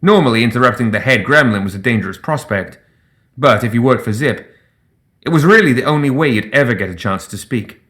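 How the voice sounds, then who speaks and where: Male storyteller, medium pitch, scripted fantasy narration for audio fiction. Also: lively intonation, brisk, fairly bright tone.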